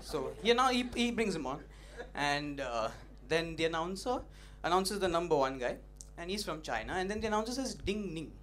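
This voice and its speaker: A young man doing an open-mic stand-up set, punchy and animated, teasing the audience, on a stage mic. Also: on the high side, a little fast, hardly any pauses, a crowd in the background. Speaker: stand-up comedian. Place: comedy club stage. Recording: noisy live club recording.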